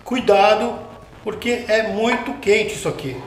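A man's voice: high voice, expressive, a little slow. A middle-aged man demonstrating a recipe step by step in his home kitchen, explaining patiently and checking in with the viewer as he works. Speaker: home cooking show host. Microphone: camera mounted overhead. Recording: echoey kitchen with light background noise.

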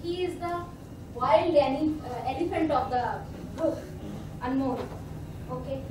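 A young woman runs a workshop, explaining a group game to volunteers with patient, filler-heavy phrasing. Her high voice comes from across a large meeting room. The sound is roomy and distant, with steady background noise. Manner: speaks slowly with many fillers, explaining patiently. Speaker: meetup facilitator. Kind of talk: workshop hosting. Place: hotel meeting room, indoors. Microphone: far camera. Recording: echoey room from far off, noisy.

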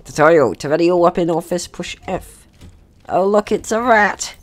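A man's voice: high-pitched, normal speed, soft background music. A young man giving casual let's play commentary as he plays a game. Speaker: gaming video host.

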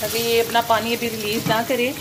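A woman hosting her home cooking channel, explaining each step in a homely way with frequent filler pauses. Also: on the high side, flat delivery, very noisy. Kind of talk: home cooking demonstration voice-over.

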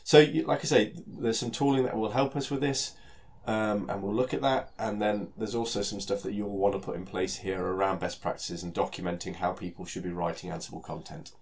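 A British man with a heavy cold talks through a technical presentation, his voice nasal and stuffy. His voice sits on the lower side, and he speaks in a relaxed way, leaning on fillers and repeating words as he goes. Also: a little fast, creaky, hardly any pauses. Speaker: solution architect giving a technical talk.

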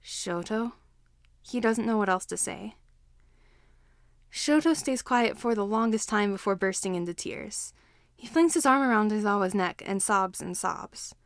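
A woman reading fan fiction aloud as an expressive scripted story narration. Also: medium pitch, moderate pace, creaky, no room sound, clean studio sound.

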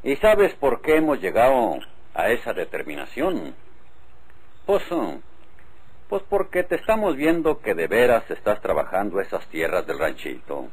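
An actor playing an authoritative but conciliatory rural spokesman in an old radio drama, deliberate, with a northern Mexican accent. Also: on the low side, huge pitch swings, husky, heavily acted, poor audio.

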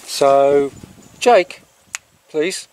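A middle-aged man in a casual, rambling vlog, talking haltingly outdoors on a farm into a handheld camera. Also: a little high, darkish tone, a little hiss or hum. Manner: halting, breaking off to talk to animals. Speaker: farm vlogger. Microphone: handheld camera at arm's length.

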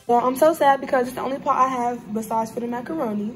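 A woman's voice: sits high, quick pace, faint music behind. A young woman in her twenties from Ohio, chatting casually to viewers as she cooks in her home kitchen, often calling them "y'all". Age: 20s. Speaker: vlogger. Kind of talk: casual cooking vlog talk.

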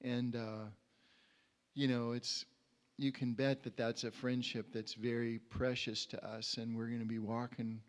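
Male preacher, 60s, medium pitch, informal and conversational, halting delivery with repeated words, handheld mic. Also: level tone. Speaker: preacher at a community church. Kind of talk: informal sermon with personal anecdotes. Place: indoor church stage. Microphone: handheld microphone.

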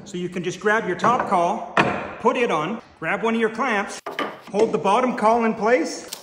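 A middle-aged man with a fairly high voice explains a woodworking tool, step by step and upbeat. He talks from a large workshop, and the room rings around him with a long reverb tail. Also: background noise. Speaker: woodworking channel host. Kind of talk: scripted product demonstration. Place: large woodworking shop indoors. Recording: echoey shop reverb.

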